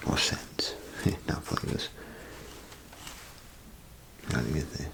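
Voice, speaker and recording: A man playing a chatty, folksy bathroom attendant in a soft ASMR role play, speaking in a gravelly, breathy half-whisper with rambling, hesitant phrasing. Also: slow pace. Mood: chatty, explaining. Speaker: ASMR performer. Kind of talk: ASMR role play, softly spoken to the listener. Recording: close ASMR recording, clear background noise.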